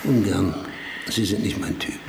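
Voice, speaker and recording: Gravelly, vain philosopher. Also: male voice, low-pitched, a little slow, slight background noise. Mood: coolly evasive. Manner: pompous, aphoristic, mocking. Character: vain, world-weary male philosopher-writer, sardonic and provocative. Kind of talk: German radio drama acting.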